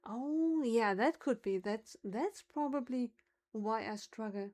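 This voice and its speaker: Woman, German accent, livestream chat, unhurried, fairly high voice, muses aloud. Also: expressive, darkish tone. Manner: chatty, muses over words aloud.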